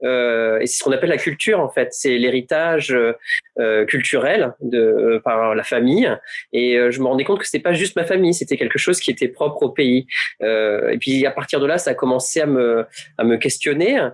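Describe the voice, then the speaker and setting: A man from France speaks in a halting, reflective way, pausing often and restarting his sentences. His voice sits at an ordinary middle pitch for a man, and he talks as an author and illustrator in a panel discussion. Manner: hesitant, frequent fillers and restarts. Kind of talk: panel conversation.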